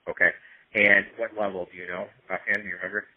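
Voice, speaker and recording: A man's voice: flat delivery, quick pace, no room sound. A man giving casual professional advice on a phone consultation, explaining step by step and checking in as he goes. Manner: explanatory, checks in with "right?" and "you know". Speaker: medical consultant advising a colleague. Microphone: telephone line. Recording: tinny narrowband phone call, low audio.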